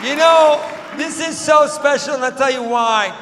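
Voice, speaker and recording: Guy doing crowd work at a comedy show, real high voice, big echoey hall, loud crowd. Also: unhurried, a little hiss or hum. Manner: bantering, teasing audience members, bursts into shouts. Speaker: stand-up comedian. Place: large theater with live audience.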